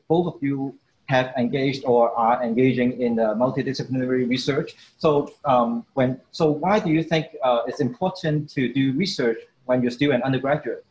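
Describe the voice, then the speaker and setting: In a studio, a middle-aged man hosts a university admissions talk in a friendly, hesitant manner, pausing often with fillers. He speaks at an ordinary male pitch. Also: a little hiss or hum, low-quality recording. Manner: friendly, halting, many fillers. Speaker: professor hosting an admissions talk. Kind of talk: presentation and moderated panel. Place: studio, indoors.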